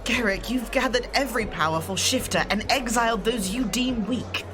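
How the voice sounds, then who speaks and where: A woman voicing a calm, resolute exiled shifter who speaks formally and avoids contractions. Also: a little high, moderate pace, music in the background, natural voice. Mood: stern. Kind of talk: audio drama acting.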